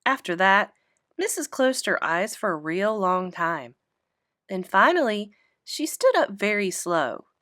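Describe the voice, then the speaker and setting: A woman's voice: medium pitch, fairly bright tone, dry recording, clean studio sound. A woman reading aloud a children's story, narrating expressively in a child's first person.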